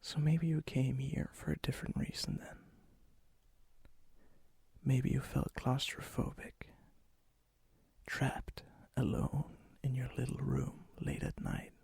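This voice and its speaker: A man playing a charming, ancient vampire and hopeless romantic in a soft-spoken, often whispered ASMR roleplay, slow, intimate and courteous, with a breathy, husky voice. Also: medium pitch, flat delivery, dry recording, full character voice. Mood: seductive, musing. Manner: slow, intimate, teasing, old-fashioned courtesy. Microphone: close mic, dry sound.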